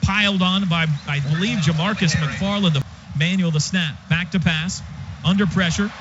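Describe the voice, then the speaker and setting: A high-voiced American man does radio play-by-play for football in short, clipped bursts. He is excitable and lifts his voice for big plays. The sound is dull and lo-fi, with loud stadium noise behind him. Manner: clipped, rapid-fire phrases. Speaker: play-by-play announcer. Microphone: broadcast booth microphone.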